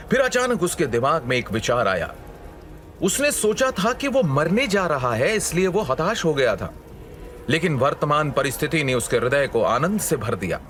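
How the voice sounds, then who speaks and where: Lively male narrator of a suspense story. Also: fairly high pitch. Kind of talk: scripted dramatic story narration.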